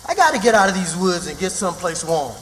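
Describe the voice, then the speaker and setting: A man's voice: high voice, sing-song, quick pace, heavy background noise. A man playing a superstitious, good-humoured runaway in radio theatre, with a folksy drawl from the American South. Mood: knowing, grumbling. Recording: long reverberant hall sound.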